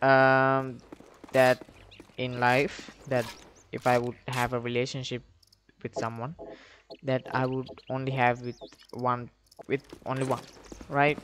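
A man's voice: mid-range voice, level tone, slow, fairly bright tone. Slangy, swearing young man over noisy background. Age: young adult. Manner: slangy, heavy swearing, rambling. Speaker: gaming video creator telling stories over gameplay. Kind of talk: casual storytelling mixed with game commentary.

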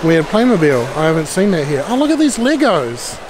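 A man's voice: on the high side, sing-song, a little fast. A middle-aged man, talking casually through a rambling shopping vlog while holding the camera. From Denmark. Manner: rambling, chatty price commentary. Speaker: vlogger. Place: large busy warehouse store. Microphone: handheld camera at arm's length. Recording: loud store background noise. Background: loud store crowd noise.

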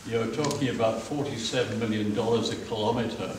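A man from the audience asking pointed questions in a loud voice, reeling off cost figures. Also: on the low side, steady tone, brisk, a little hiss or hum. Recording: very echoey hall from far off.

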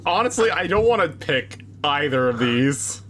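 A man's voice: fairly high pitch, sing-song, normal speed, a bit bright. A man hosting a gaming video, rambling playfully and casually with friends in reaction to a game. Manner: playful, rambling, sing-song asides. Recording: faint background noise.

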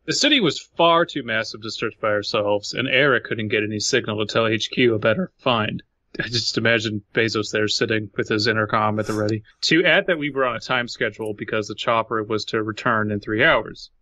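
Male co-host, mid-range and bright, conversational reader. Dry audio. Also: fairly low pitch, a little fast, no room sound. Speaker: podcast co-host. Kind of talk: reading a story aloud with casual commentary. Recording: dull lo-fi dry podcast audio.

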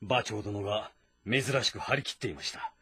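An actor playing a composed, respectful military strategist who gives measured, formal briefings in a historical game drama. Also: male voice, lowish voice, a bit bright, slightly rough, full character voice. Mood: composed, assured.

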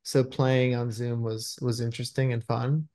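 A man's voice: mid-range voice, little pitch movement, normal speed, darkish tone. A man in his thirties giving a conversational interview over a video call, effusive and thoughtful as he searches for his words. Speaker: screenwriter being interviewed. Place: indoors in a room at home, on a video call. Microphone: computer video-call microphone.

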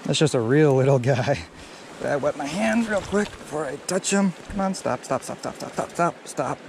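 A man's voice: a little high, moderate pace. A young man narrating casually to viewers while fishing outdoors, recorded on a head-mounted camera. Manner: relaxed, talks to viewers as he fishes. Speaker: fishing vlogger. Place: outdoors by a creek and pond. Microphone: head-mounted action camera. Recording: clear water and outdoor noise behind. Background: running stream water.

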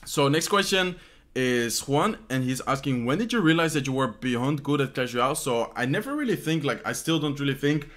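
A man's voice: mid-range voice, lively intonation, moderate pace, fairly bright tone. A young man from the Netherlands with a Dutch accent answers fan questions casually at home. He speaks English as a second language, rambles, and fills gaps with "like". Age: young adult. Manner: rambling, many "like" fillers and "how you say this" searches. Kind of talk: casual Q&A chat. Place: bedroom at home, indoors.

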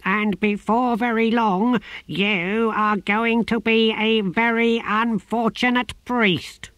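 A man's voice: high-pitched, fairly even intonation, takes it slowly, a bit bright, no echo, voice pushed up. A man voicing an imperious old tortoise who claims to be a god and barks commands and curses. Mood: imperious, furious.

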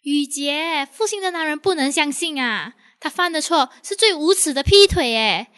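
A woman's voice: high voice, animated, normal speed, a bit bright. A woman acting an outspoken, protective young friend in a radio drama. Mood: scolding.

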